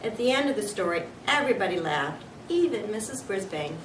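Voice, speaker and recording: A woman narrates a children's book, reading from the text. She sounds slightly distant in an echoey room, with clear noise on the recording. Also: medium pitch, very animated, a little fast. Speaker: storybook reader.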